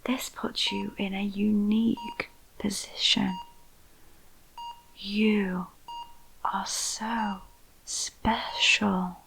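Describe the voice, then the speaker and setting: A woman playing a clinical female vampire scientist in an ASMR roleplay, with a whispery, breathy voice and deliberate delivery. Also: medium pitch, steady tone, fairly bright tone, slight background noise, full character voice, low-quality recording. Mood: soothing, sinister. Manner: slow, soothing, deliberate. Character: calm, clinical female vampire scientist overseeing a sinister experiment.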